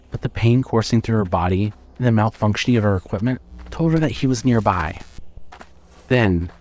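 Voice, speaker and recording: A man's voice: mid-range voice, a little mellow. A man narrating a scripted horror story as the narrator of an audio drama.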